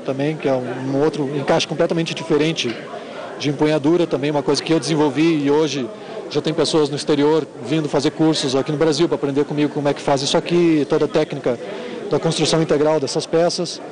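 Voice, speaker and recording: A southern Brazilian man explains his craft informally in an interview. His voice is fairly high, with clear crowd chatter from a busy hall behind him. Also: fairly even intonation, fast. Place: large indoor fair hall.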